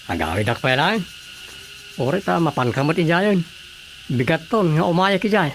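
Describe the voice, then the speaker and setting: A man's voice: fairly high pitch, animated, moderate pace, loud music behind the voice. Flustered councilman, swooping intonation. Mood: puzzled, chatty. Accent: Ilocano. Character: flustered, easily startled village councilman. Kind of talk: Filipino radio comedy drama acting.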